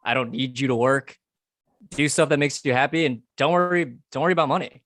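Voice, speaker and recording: A woman reads a devoted doctor boyfriend who stammers when he is hurt. The voice is pitched very low for her. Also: brisk. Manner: eager, then hurt and stammering.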